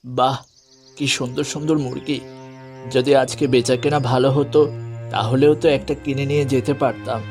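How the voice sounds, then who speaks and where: A man voicing an honest, weary, gentle poor village father in a cartoon. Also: medium pitch, steady tone, moderate pace, soft background music, close to an everyday voice. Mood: earnest. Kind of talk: cartoon fairy tale voice acting.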